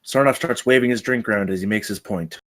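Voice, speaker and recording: A man reads screenplay directions at a table read. He moves along quickly in a fairly low voice, as a scripted narrator. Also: fairly bright tone. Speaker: narrator reading stage directions.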